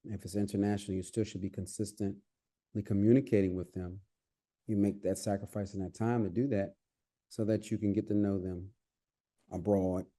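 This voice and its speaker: A man's voice: on the low side, little pitch movement, a little fast, dark tone. A man from the United States with no accent, answering interview questions in a reflective, advice-giving way with frequent restarts. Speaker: podcast guest.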